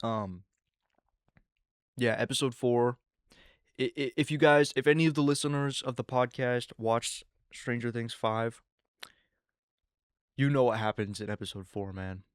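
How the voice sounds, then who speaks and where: An eighteen-year-old man hosting his own podcast, rambling casually with lots of fillers and excited asides. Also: medium pitch, measured pace, long pauses, clear, resonant tone, no room sound, clean studio sound. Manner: rambling, filler-heavy, excitable teen talk. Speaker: podcast host. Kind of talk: casual solo podcast chat.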